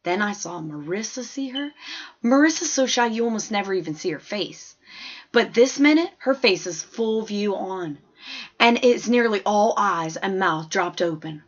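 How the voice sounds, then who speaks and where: A woman's voice: mid-range voice, lively intonation, normal speed, lightly acted. Casting: woman to play an observant, plain-talking fourth-grade girl narrating an audiobook, folksy and earnest, mid-range voice, slightly lo-fi sound. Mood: wondering, earnest. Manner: chatty, folksy, emphatic childlike storytelling. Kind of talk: scripted first-person story narration.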